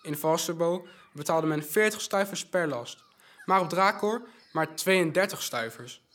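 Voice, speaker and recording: A man acts out a young orphan messenger boy in an audio drama. His voice sits fairly high, and he is courteous and eager, reporting each piece of news with careful detail. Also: a little slow, a bit bright. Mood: informative, keen. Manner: polite, informative, recites news in detail.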